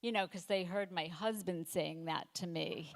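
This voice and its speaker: A woman in her fifties doing a stand-up comedy set, delivering deadpan one-liners with halting fillers and self-corrections. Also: on the low side. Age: 50s. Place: comedy night stage before a live audience.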